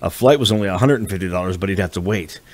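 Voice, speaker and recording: A man's voice: low voice, racing, no echo. A man reading a novel as a scripted audiobook narration.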